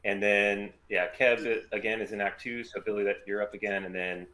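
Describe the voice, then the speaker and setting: A man's voice: fairly low pitch, flat delivery, brisk, sharp tone, low-quality recording. A man casually hosting a group table read, handing out parts in an informal chatty style. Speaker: host running the table read.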